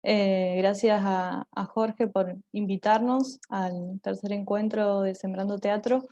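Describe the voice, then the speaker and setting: A woman with an Argentine accent and a soft, dark-toned voice gives an informal online presentation. She speaks haltingly, searching for words as she goes. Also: mid-range voice, fairly even intonation, dull audio. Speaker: theatre group member giving a talk.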